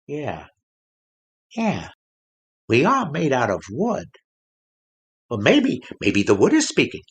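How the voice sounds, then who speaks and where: Excitable ventriloquist dummy, wildly swooping. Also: male voice, mid-range voice, takes it slowly, husky, heavily acted. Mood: agreeable, puzzled. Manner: hesitant, agreeable, easily amazed. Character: gullible, excitable wooden male ventriloquist dummy sidekick. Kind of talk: comic audio-play dialogue.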